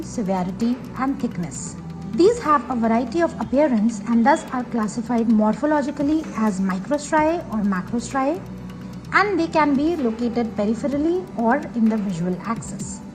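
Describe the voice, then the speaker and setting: A woman with an Indian English accent reading a scripted medical video narration slowly and evenly. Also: on the high side, low-quality recording. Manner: slow, even reading of a prepared script. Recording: voice-over with background music.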